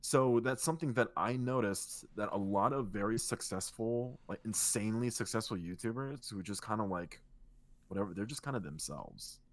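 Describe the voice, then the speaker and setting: Male co-host, low and soothing, loose rambling delivery, casual profanity. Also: normal speed, no echo. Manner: rambling, relaxed, swears casually. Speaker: podcast co-host and streamer. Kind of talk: casual chat.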